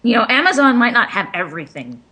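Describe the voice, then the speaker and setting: Animated woman with a highish voice. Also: fast talker, slightly rough. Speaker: commentary host. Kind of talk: casual fan chat.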